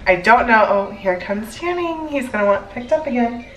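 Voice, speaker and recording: A woman's voice: on the high side, lively intonation, moderate pace, slight background noise. A young woman teacher, home from work, talking tiredly with a stuffy, congested voice. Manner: chatty, tired, filler-heavy. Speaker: elementary school teacher. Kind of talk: casual vlog chat. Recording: echoey room, mic at a distance.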